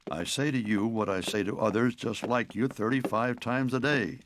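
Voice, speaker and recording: An actor voicing a weary officer in a cartoon, dry and exasperated. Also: male voice, mid-range voice, normal speed, background noise, natural voice. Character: weary male officer, fed up with job seekers. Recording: music behind.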